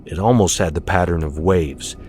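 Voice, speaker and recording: Low-voiced man narrating dramatically. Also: moderate pace, darkish tone. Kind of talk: dramatic scripted audiobook narration. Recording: music behind the voice.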